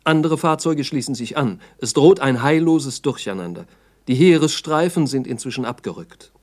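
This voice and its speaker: A man's voice: mid-range voice, expressive, moderate pace, darkish tone. A man narrating a 1960s radio play in a sober, factual, report-like style.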